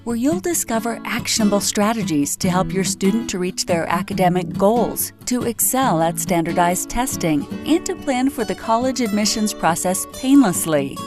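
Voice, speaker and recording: A woman's voice: mid-range voice, expressive, normal speed, few pauses. A woman reading a scripted promotional introduction as a podcast announcer. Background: plucked guitar music.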